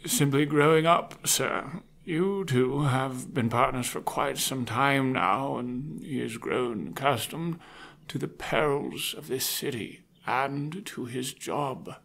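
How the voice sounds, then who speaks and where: An actor playing a loyal, wise butler in an audio drama, formal, measured and gently wry. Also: male voice, medium pitch, gravelly, heavily acted. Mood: patient, wry.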